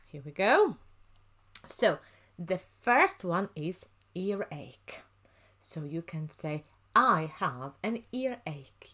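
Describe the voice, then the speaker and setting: A woman teaching an English lesson over slides, speaking slowly and carefully and repeating each phrase for learners to copy. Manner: lively sing-song pitch, drops to a near-whisper at phrase ends.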